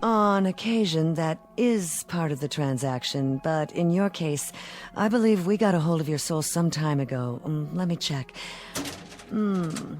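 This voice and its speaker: An actress playing a suave devil posing as a travel agent in 1960s television drama, speaking with poised, formal precision and cool teasing. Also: female voice, sits low, measured pace, darkish tone. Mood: businesslike, coolly amused. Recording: old TV soundtrack with background music.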